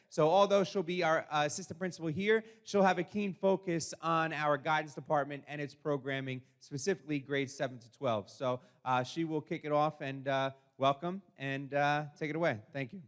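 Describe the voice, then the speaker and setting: Man, school administrator, slightly high voice, informal welcome speech, halting delivery. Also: steady tone. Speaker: school administrator opening an event. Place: school meeting with an audience.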